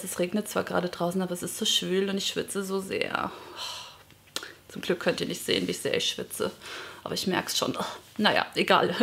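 A woman in her thirties chatting casually to camera as a vlogger, self-mocking and gesturing a lot, seated in a living room. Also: medium pitch. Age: 30s. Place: bright living room indoors.

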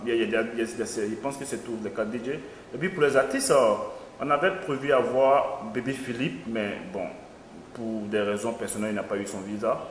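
A young man, an event organizer, answering interview questions hesitantly with many fillers. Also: mid-range voice. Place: small studio with logo backdrop. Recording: echoey studio room, faint noise.

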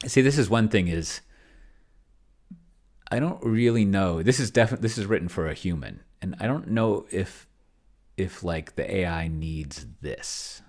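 A middle-aged man with a low voice hosts an unscripted video walkthrough. He thinks out loud in a rambling way, often dropping one thought to start another. He is speaking into a close desk microphone indoors. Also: expressive, unhurried. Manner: rambling, trailing off mid-thought. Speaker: video host. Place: indoor room at home, desk setup. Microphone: desk microphone close to the mouth.

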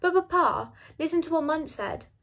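A woman's voice: high voice, lively intonation, moderate pace, gravelly, a bit bright, pitched above the performer's own voice, heavily acted. A woman voicing an earnest, passionate and stubborn teenage girl in a story reading. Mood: eager.

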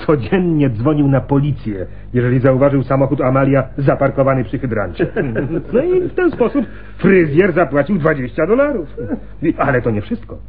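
A man's voice: medium pitch, very animated, normal speed, lightly acted. An actor playing a chatty, gossipy male pawnbroker in a radio play, garrulous and fond of telling stories. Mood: gossipy, amused. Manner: garrulous, chuckling storyteller. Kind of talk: Polish radio play acting. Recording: muffled, phone-like noisy radio recording.

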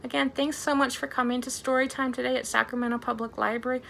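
A woman in her thirties leading a storytime for young children as a librarian, talking in an animated way with simple, exaggerated phrasing. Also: sits high, quick pace, creaky, a little hiss or hum. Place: small plain indoor room.

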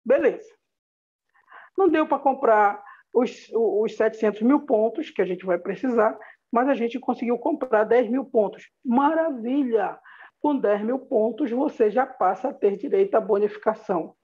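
A Brazilian woman with a slightly high voice walks listeners through a business plan on a video call. She is upbeat and motivational and speaks to them directly. The audio is a bit dull and lo-fi. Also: normal speed, husky. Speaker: business opportunity presenter. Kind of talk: motivational slideshow presentation over a video call.

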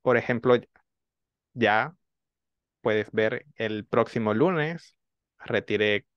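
A man in his thirties, a student in an online language class, speaking slowly and reflectively into a desk microphone in a small room. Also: lowish voice, clear, resonant tone, dry recording, highs cut off. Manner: slow, reflective, measured. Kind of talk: online language lesson chat. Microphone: desk mic on boom arm.

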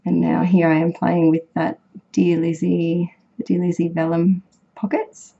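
A young woman talks through her scrapbooking in a low, croaky, husky voice, worn rough by illness. Her tone is warm and mellow, and she rambles with fillers and corrects herself as she goes. The recording is dull and lo-fi. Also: level tone, a little slow. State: croaky, sick voice. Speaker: scrapbooking vlogger. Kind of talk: casual voice-over narration of a craft process.

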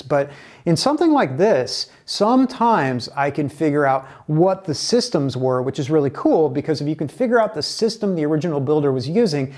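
A man in his forties talks through boat building in an easy, explanatory way, his voice sitting a touch higher than most men's. He gestures as he speaks and sounds relaxed. He is recorded in a bright indoor workshop on a small clip-on mic. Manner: relaxed, animated hand gestures while explaining. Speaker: kayak builder and instructor. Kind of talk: explaining to camera.

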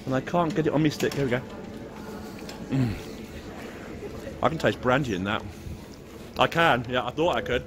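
A man's voice: mid-range voice, moderate pace, pauses often. An English man filming a casual walking vlog at an outdoor market on a gimbal camera, chatty and jokey, chuckling as he chats with stallholders. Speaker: vlogger touring a Christmas market. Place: busy outdoor Christmas market street. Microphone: handheld camera on a gimbal. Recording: crowd noise of a busy street market. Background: crowd chatter.

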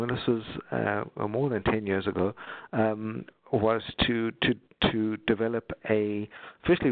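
A middle-aged South African man giving an unscripted webinar talk on obstetrics, halting, with many fillers and restarts. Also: mid-range voice, no echo. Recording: tinny phone-like call audio, dry.

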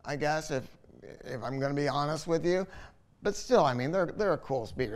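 An older man with a somewhat high voice talks to camera as an audio dealer reviewing gear. He is informal and opinionated, hammers points home by repeating words, and speaks conversationally. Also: smooth. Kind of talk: informal video product review, talking to camera. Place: listening room at home.

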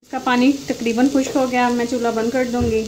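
A woman, the host of a cooking channel, calmly narrating a home recipe step by step. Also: on the high side, a little fast, a little hiss or hum, low-quality recording. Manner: plain step-by-step instructions. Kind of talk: recipe voice-over. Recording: loud background music.

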